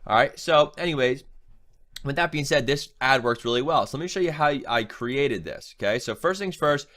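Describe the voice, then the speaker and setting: A man's voice: medium pitch, animated, moderate pace, a bit bright. Nasal-sounding man teaching ad setup. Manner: casual, chatty, keeps asking "right?". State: nasal from a cold. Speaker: online marketing trainer. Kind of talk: screen-share tutorial.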